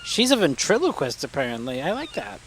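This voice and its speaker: A man's voice: a little high, huge pitch swings, brisk, slightly rough, poor audio. A man riffing comically over an old film with wry, sardonic asides. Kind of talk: mocking wisecrack commentary.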